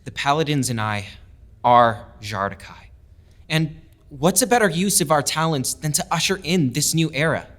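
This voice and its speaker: A man's voice: a little high, moderate pace, fairly bright tone, close to an everyday voice. An actor playing an earnest young male lieutenant, a proud outsider making his case in an audio drama. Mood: earnest, rousing. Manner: impassioned, oratorical. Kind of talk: full-cast audio drama speech.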